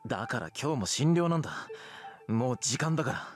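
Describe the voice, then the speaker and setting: Withdrawn young man played by an actor, visual novel drama, clipped blunt mumble, very rapid delivery. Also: mid-range voice, sing-song, faint music behind, natural voice. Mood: curt, snappish. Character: withdrawn, sullen young man shunning his friends. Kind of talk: Japanese visual novel voice acting.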